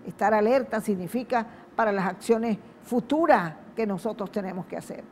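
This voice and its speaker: Venezuelan woman hosting, slow solemn intro, kinda emphatic, bit of noise behind. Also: medium pitch, a little mellow. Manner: solemn, emphatic, repetitive phrasing. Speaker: TV program host. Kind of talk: speech-like program introduction. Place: outdoors at a public event.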